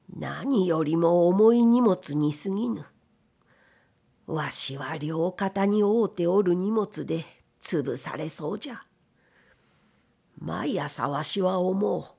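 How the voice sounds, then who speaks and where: Storybook role: proud, embittered elderly mill owner played by a woman, weary, creaky, unhurried. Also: on the low side, darkish tone, exaggerated voice. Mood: weary, despairing. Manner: weary, old-fashioned elderly man's speech. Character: old blind factory owner, proud, bitter, grieving father. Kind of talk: dramatic literary reading.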